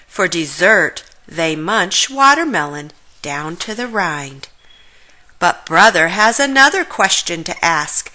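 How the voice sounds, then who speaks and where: A woman reads a children's story aloud for young readers. Her delivery is slow and deliberate, with very lively, expressive intonation. The tone is strongly bright and forward. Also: on the low side. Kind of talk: children's read-aloud narration.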